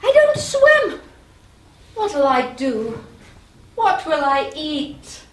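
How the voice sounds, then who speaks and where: An actress playing a whiny, fretful young goddess with a plaintive, anxious delivery in a live stage comedy. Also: female voice, high voice, wildly swooping pitch, very slow, full character voice. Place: small playhouse stage, live performance. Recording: distant echoey theater recording, loud noise.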